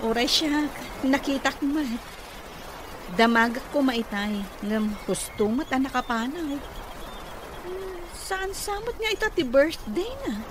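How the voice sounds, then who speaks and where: Over clear noise, a nosy office gossip played by a woman talks slowly. Her delivery is gossipy radio comedy acting in a fairly high voice. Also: lively intonation. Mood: curious, gossipy.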